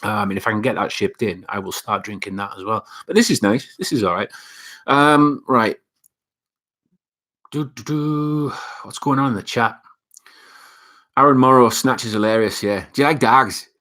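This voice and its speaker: A man's voice: lowish voice, normal speed, long pauses, pushed and bright. A young man with a Northeast England accent, hosting a casual live chat and reading viewer comments, rambling with lots of fillers and restarts. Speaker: livestream host reading chat.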